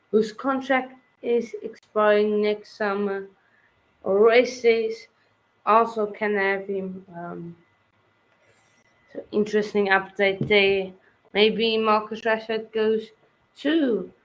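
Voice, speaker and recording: Slow, gravelly-voiced woman hosting a stream. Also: medium pitch, low-quality recording. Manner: hesitant, many fillers, wraps up the show. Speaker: stream host. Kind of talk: casual livestream hosting.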